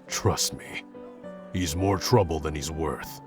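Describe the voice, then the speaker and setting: A man playing a gruff, awkward young tsundere prince who speaks haltingly and grudgingly in an intimate roleplay audio addressed to the listener. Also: a bass voice. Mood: protective, warning. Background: background music.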